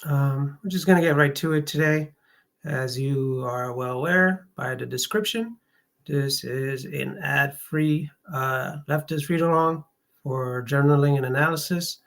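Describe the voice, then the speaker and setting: A person hosting a casual read-along livestream, talking in a halting, hesitant way. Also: medium pitch, vocal fry. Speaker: host of a read-along stream.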